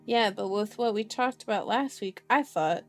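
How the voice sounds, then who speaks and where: A woman's voice: medium pitch, normal speed, lightly acted. A woman voicing a frustrated, defiant male police officer in a storybook reading, with a questioning, pointedly polite manner. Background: music.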